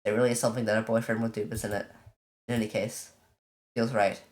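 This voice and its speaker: A let's player casually commenting on a visual novel and reading its text aloud, in an informal, halting way. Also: medium pitch, little pitch movement. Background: game music.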